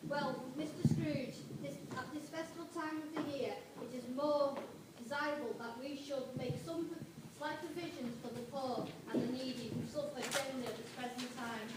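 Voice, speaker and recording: A woman playing a meek, polite Victorian gentleman in a radio play, hesitant and deferential. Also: sits high, fairly even intonation, moderate pace, close to an everyday voice. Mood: earnest, polite. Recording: echoey hall, far off, noisy with clicks.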